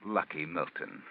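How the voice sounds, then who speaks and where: Sardonic male radio narrator, deep pitch, brooding, 1940s drama, dull vintage recording. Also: creaky, heavily acted. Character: sardonic, ominous male storyteller of fate, brooding. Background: music.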